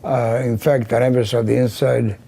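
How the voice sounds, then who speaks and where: An older man from the Carpathian region, Yiddish his first language, recalling his childhood slowly with many pauses and restarts in an oral history interview. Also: mid-range voice, little pitch movement, vocal fry, warm tone, breathy edge. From Carpathian region of Czechoslovakia. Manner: slow, halting, many ums and restarts.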